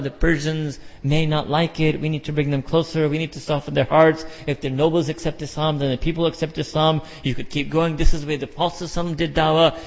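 A man preaching a sermon from the front of a large hall, passionate and full of rhetorical questions. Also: fairly high pitch. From Pakistan or India. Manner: impassioned, rhetorical questions, mixes Urdu and Arabic phrases. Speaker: Islamic preacher. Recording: dull lo-fi sound with long hall reverb.

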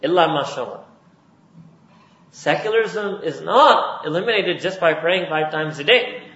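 A man's voice: on the high side, animated, measured pace, pushed and bright. A man from Pakistan giving a religious lecture as a preacher, building his points with emphatic repetition. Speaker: Islamic preacher. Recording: roomy, dull lo-fi recording.

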